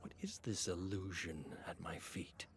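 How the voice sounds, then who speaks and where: A man's voice: sits low, measured pace, slight background noise, voice pushed down. A man voicing a desperate, starving fugitive nobleman in a dramatic game story, brooding, anguished and intense. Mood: hushed unease.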